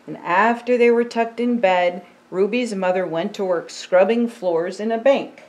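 An older woman reads a children's storybook aloud at a slow pace. Her pitch sits in the middle range. She phrases things simply and deliberately, stepping aside from the text to explain as to a child. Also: darkish tone. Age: 60s. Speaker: pastor and storytime reader. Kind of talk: reading a picture book aloud with asides to a child. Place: indoor storytime set by a fireplace.

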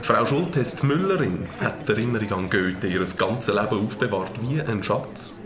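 A man playing a plain-spoken nineteenth-century village gunsmith in an audio drama, matter-of-fact and unhurried. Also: fairly low pitch, animated, a crowd in the background, natural voice. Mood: matter-of-fact reminiscing.

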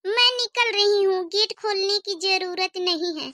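A sassy young cartoon bride from the city, performed by a woman, speaks curtly and haughtily. Her voice is extremely high, bright and cutting, with a dry, close sound. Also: normal speed, dry recording. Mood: self-assured. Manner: curt, haughty. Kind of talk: comedy cartoon voice acting.

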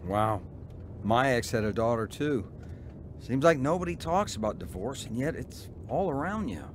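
A man's voice: medium pitch, big pitch swings, normal speed, a little hiss or hum, lightly acted. A man playing a disillusioned young husband and father in a radio drama, both narrating and talking in scenes. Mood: earnest, friendly. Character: disillusioned young husband and father recalling his troubled life. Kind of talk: radio drama acting with first-person narration.

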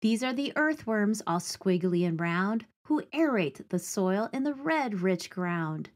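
A woman's voice: medium pitch, animated, measured pace. Woman reading a children's storybook aloud.

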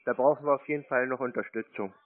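A man's voice: medium pitch, steady tone, fast, no room sound. Indignant man scolding over a tinny phone line. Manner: indignant, lecturing, mocking. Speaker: scam-baiting caller.

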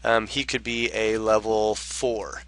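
A man narrating an unscripted software tutorial in a halting, explanatory way, speaking close to the mic as a trainer. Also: fairly low pitch, flat delivery, poor audio.

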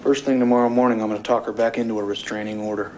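A man's voice: lowish voice, little pitch movement, racing, lightly acted. An actor playing a weary, principled lawyer in his forties, terse, with an American accent. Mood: weary, grim. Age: 40s. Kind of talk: understated television drama acting. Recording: noisy television drama soundtrack.

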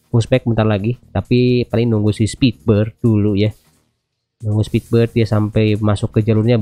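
A man's voice: medium pitch, mellow, no echo. A man in his thirties with an Indonesian accent, giving chatty, informal gameplay commentary with some English radio-style phrases. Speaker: gaming video host. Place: indoors at a computer, music behind.

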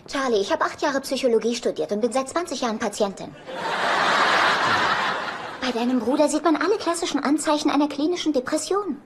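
A woman's voice: high voice, expressive, fairly quick, very noisy. An actress playing an obsessive, vengeful woman in a dubbed sitcom, dramatic and emphatic. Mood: matter-of-fact. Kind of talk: German-dubbed sitcom acting.

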